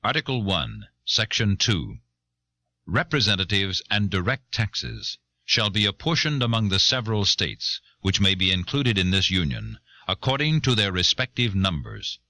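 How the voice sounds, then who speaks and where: A man reads a legal document in a solemn, deliberate way. His voice is fairly low yet strikingly forceful, with animated intonation, on an old cassette recording. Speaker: reader. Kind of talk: formal reading of a legal text.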